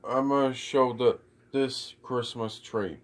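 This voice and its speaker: A puppeteer plays along in a casual home puppet show, speaking very slowly. The replies are short and halting, with words repeated. It is recorded on a phone in a living room. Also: mid-range voice, steady tone. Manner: brief, halting replies with repetitions. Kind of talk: casual homemade puppet play. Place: living room beside a Christmas tree at home. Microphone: phone camera a short way off.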